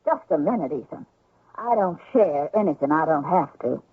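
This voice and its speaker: Commanding young frontier wife, husky voice. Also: female voice, deep voice, animated, moderate pace. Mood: cold, possessive. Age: young adult. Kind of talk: radio drama acting. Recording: narrow-band, echoey old radio recording.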